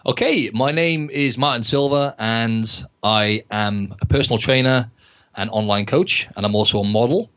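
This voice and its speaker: A man's voice: medium pitch, normal speed, fairly bright tone, muffled recording. A man from Wales, answering interview questions casually with hesitant restarts. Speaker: podcast guest, personal trainer.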